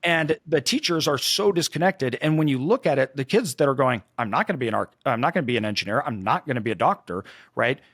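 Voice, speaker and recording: A man's voice: medium pitch, lively intonation, fast, fairly bright tone, no room sound, studio quality. A man co-hosting a podcast, talking emphatically and critically, asking rhetorical questions. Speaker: podcast co-host. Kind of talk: opinionated casual discussion.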